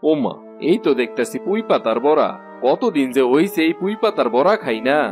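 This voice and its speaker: A man playing a sentimental, soft-hearted village thief in a cartoon, with a rural Bangladeshi Bengali accent. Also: on the high side, sing-song, a little fast. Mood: wistful. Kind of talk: cartoon voice acting. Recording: music behind the voice.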